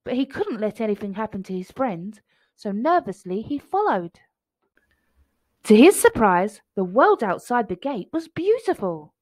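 A woman reads a storybook aloud for children. Her voice is fairly high and very animated, recorded close and dry. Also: unhurried, no room sound.

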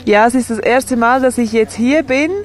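A woman voicing a sign language sports report and interviews in a measured, steady way. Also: a little high.

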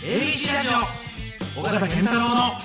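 Male jingle announcer, very high shouted delivery, echoey and distant, over loud music. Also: expressive, a little fast. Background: loud guitar music.

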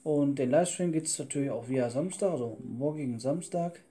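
A man's voice: fairly high pitch, fairly quick. A man hosting a gaming video, talking casually as he plays. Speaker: gaming channel host and player. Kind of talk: casual Let's Play chat. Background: loud plucked guitar music.

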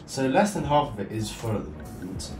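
Casting: male hobby vlogger, informal and unscripted, lowish voice, recorded well away from the mic in an echoey room. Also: big pitch swings, fairly quick. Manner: informal, thinking aloud. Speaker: YouTube host showing a hobby build. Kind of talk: casual unscripted show-and-tell vlog. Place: indoor room beside the build. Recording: echoey room, distant mic, music behind.

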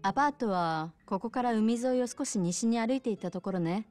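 An actress playing a kind, soft-spoken bar owner with a polite, considerate manner in a video game cutscene. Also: female voice, on the high side, fairly quick, soft background music, natural voice. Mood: helpful, friendly. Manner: polite, considerate, gently casual.

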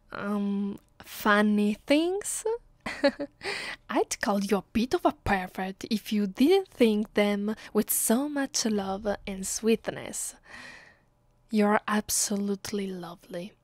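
A woman acts out an affectionate vampire girlfriend for an ASMR roleplay, with an Italian accent. Her voice is slightly high and soft, and she takes her time over each phrase, intimate and hesitant, with a playful, clingy streak. The sound is very dry, with no room to it. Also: no room sound, exaggerated voice. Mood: loving, content. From Italy. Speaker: voice actress.

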